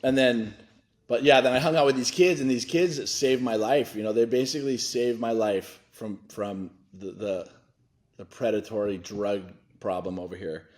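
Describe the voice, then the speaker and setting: Earnest halting man in his forties. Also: medium pitch, steady tone, darkish tone. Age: 40s. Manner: earnest, halting, restarts a lot. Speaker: nonprofit foundation director, guest. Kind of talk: candid interview talk.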